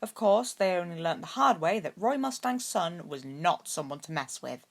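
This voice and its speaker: Low-pitched woman narrating a story. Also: lively intonation, normal speed, vocal fry. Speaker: amateur audiobook narrator.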